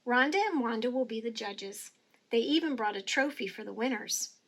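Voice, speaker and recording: A woman reads a children's story aloud in a high voice. Her delivery is expressive and teacherly, phrased carefully for young listeners. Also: normal speed. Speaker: storybook reader.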